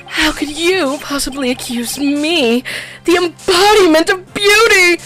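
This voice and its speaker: A woman's voice: very high voice, measured pace, a bit bright, faint music behind, voice pushed up. A woman voicing a vain, pompous man on the witness stand, drawling haughtily. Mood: indignant.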